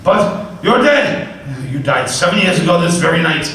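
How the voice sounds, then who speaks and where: Scornful old miser played by a man, stammering and blustery, lively and high-pitched, live radio play caught far off in an echoey room with noise. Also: normal speed. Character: old male miser, stubborn and scornful, easily frightened.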